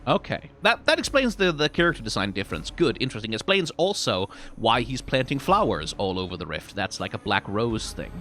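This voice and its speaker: A man's voice: mid-range voice, very animated, fairly quick, few pauses, pushed and bright, a little hiss or hum. A man giving casual, off-the-cuff commentary as a streamer, rambling as he thinks aloud and often checking himself with a tag question.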